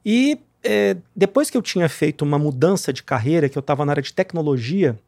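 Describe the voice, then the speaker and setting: Man with creaky voice, casual interview talk. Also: a little high, animated, brisk, darkish tone, no room sound, studio quality. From southern Brazil, Rio Grande do Sul. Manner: informal, punctuated with tags like "né, cara". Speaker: guest, real estate marketing executive. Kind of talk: conversational interview, telling career story.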